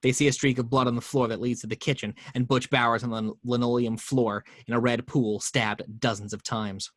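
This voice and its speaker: A man reading the action lines of a screenplay aloud, steady and even with small quick stumbles. His voice sits in the middle of a man's range. The sound is dry and close, on a home microphone. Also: medium pitch, no echo.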